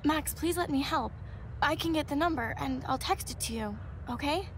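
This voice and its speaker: A woman acting a gentle, devout young student in a video game, fragile but hopeful, earnest and sincere. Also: high voice, normal speed, noisy background, natural voice. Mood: determined. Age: young adult. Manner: soft-hearted, earnest, sincere.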